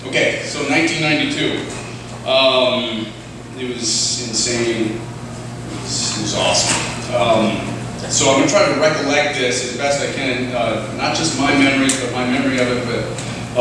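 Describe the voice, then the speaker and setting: A tired man in his forties giving a rambling, self-deprecating speech at a luncheon, full of fillers and restarts. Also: mid-range voice. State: tired from no sleep. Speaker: former player giving a speech. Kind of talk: informal reminiscing speech. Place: large echoey hall at a luncheon. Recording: very echoey hall, distant, noisy.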